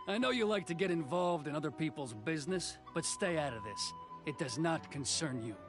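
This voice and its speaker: A man's voice: on the high side, animated, moderate pace, over music. A man voicing a stern, proud swordsman officer in a video game, clipped and haughty in delivery. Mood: dismissive. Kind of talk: English video game voice acting.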